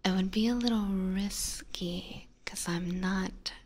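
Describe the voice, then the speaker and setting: Breathy, whispering woman, slow and soft. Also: medium pitch, steady tone. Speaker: ASMR streamer and host. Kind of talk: ASMR, casual chat with live viewers. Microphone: close binaural ASMR microphone.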